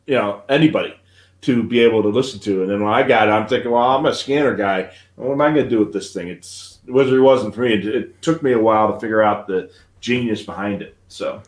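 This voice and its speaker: A man's voice: on the low side, quick pace. An American man hosting a live hobby show in a casual, rambling, anecdotal way. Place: indoor room at a desk. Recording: echoey room.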